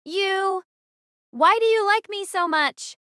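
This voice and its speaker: Woman voicing an earnest, very high-pitched young girl cadet. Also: takes it slowly, sharp tone, no room sound, studio quality, heavily acted. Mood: tender, resolute. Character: earnest, tender young female military student, protective and resolute. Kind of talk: dramatized audiobook character dialogue.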